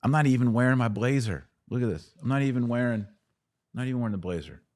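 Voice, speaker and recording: A man's voice: mid-range voice, animated, quick pace, darkish tone, dry recording. An American man hosting a casual livestream, chatting informally with playful slang as he answers viewers. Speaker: podcast host.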